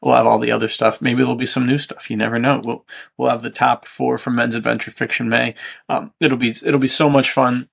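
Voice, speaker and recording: Casual man with heavy vocal fry. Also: medium pitch, level tone, a little fast. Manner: enthusiastic. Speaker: podcast host. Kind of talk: casual podcast chat.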